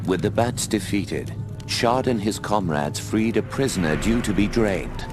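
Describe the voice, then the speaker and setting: A man's voice: lowish voice, expressive, a little slow. A male actor playing a solemn storyteller narrating a fantasy legend in a video game. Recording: music under the voice. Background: music.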